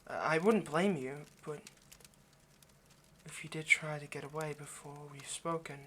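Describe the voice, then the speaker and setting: A man's voice: fairly high pitch, fairly even intonation, unhurried, fairly bright tone. A man playing a nervous, centuries-old vampire kidnapper in an intimate ASMR roleplay, hesitant, stammering and apologetic as he speaks to the listener. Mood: anxious apologetic. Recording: faint background noise.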